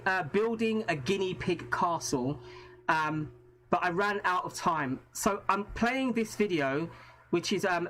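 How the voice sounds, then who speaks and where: A man's voice: sits high, fairly bright tone. English man, live-stream host, casual, chatty, repeats himself. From England. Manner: chatty, repeats words, thanks viewers often.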